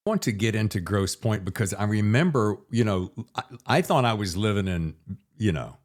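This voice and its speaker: A middle-aged man hosting a podcast in casual interview chat, telling anecdotes in an animated way and acting out dialogue. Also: on the low side, normal speed, no room sound, clean studio sound. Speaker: podcast host.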